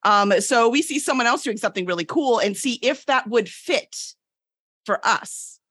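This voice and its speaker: A woman's voice: a little high, expressive, moderate pace, fairly bright tone, no echo, clean studio sound. A woman guest in a casual interview, explaining things emphatically and often checking in with the listener. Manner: emphatic, peppers points with "right?". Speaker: podcast guest, book publisher.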